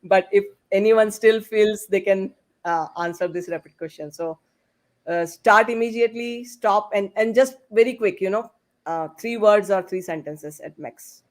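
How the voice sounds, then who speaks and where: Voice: medium pitch, normal speed. A host moderating a live online panel over a video call, speaking in an informal, chatty and somewhat hesitant way.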